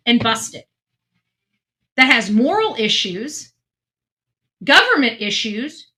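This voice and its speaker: A woman's voice: sits high, pushed and bright. A woman giving casual true crime commentary, earnest and emphatic, often restarting her sentences.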